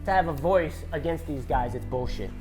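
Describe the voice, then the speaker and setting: Lowish voiced woman from louisiana, fed up and defiant, speaking out plainly, bit of music behind. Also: expressive, moderate pace, darkish tone. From Louisiana, United States. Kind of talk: impassioned advocacy testimony.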